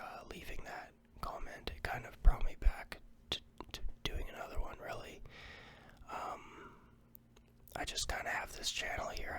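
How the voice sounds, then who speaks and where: A person in a fully unvoiced ASMR whisper, a little stuffy from a cold, rambling casually with many ums into a close microphone. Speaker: ASMR vlogger.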